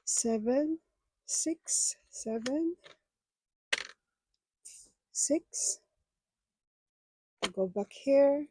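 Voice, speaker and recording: A woman whose first language is Filipino chats casually with viewers as a budgeting vlogger, speaking in a halting, hesitant way. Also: high-pitched, expressive, mellow, a little hiss or hum, rough recording.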